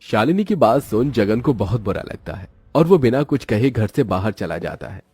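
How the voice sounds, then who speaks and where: A man narrates a children's story as a scripted storyteller. His voice is husky and mid-pitched with lively swings, recorded dry over music. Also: normal speed.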